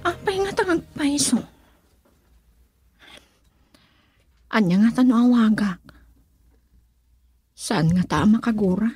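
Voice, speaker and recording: Husky-voiced worried Ilocano wife. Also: female voice, on the high side, sing-song, normal speed, a little mellow, full character voice. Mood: anxious, fretting. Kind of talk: Ilocano radio drama acting.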